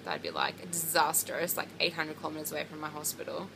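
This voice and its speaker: A woman's voice: low voice, big pitch swings, quick pace, vocal fry, pushed and bright, a little hiss or hum. A woman, chatting casually to camera about her pregnancy in a rambling way with lots of ums and likes. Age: 20s. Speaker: pregnancy vlogger.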